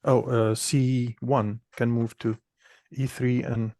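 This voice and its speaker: A man with a gravelly, creaky voice at middling pitch puzzles things out aloud. He is casual and hesitant, often questioning as he goes, in a very dry recording. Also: flat delivery, slow, warm tone, no echo. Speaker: learner questioning the rules.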